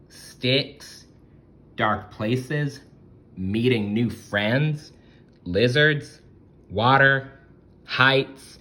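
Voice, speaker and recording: A man's voice: medium pitch, a bit bright. A man voicing a small young cowardly spider in a storybook, wordy, formal and fretful. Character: small young scaredy spider, polite, big-worded, easily frightened.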